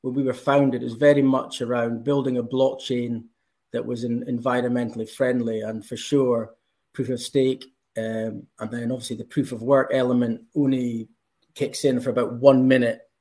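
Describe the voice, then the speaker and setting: A man's voice: mid-range voice, flat delivery, moderate pace, a little mellow. A man from Scotland with a Scottish accent, answering interview questions with enthusiasm and frequent ums. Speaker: company representative.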